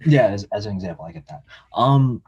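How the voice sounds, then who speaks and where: A nineteen-year-old man with a low, husky voice, chatting as a podcast guest. He speaks earnestly in loose, halting phrases, full of fillers. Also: animated, darkish tone, lo-fi sound. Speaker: podcast guest, college student. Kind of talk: casual interview chat.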